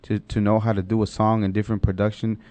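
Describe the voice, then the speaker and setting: A man's voice: fairly low pitch, flat delivery, fast talker, warm tone, dry recording. A man whose first language is Spanish, hosting a casual podcast chat and rambling with lots of self-corrections. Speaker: podcast host.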